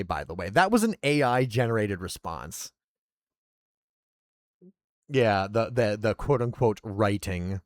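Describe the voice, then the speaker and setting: A male streamer chats casually between readings, rambling and self-mocking. His voice sits low and sounds dry and close. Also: dry recording. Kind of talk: casual chat, reading roleplay aloud.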